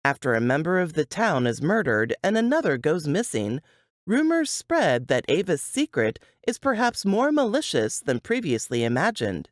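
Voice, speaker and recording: Expressive woman reading a suspense blurb, studio-dry. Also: low-pitched, normal speed, no echo. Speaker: book blurb narrator. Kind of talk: scripted dramatic narration.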